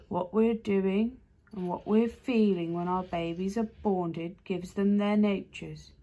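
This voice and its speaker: A woman voicing an enormous, gentle creature that speaks slowly and solemnly in a deep voice, reading a storybook. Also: lightly acted, rough recording. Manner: slow, grave, deliberate.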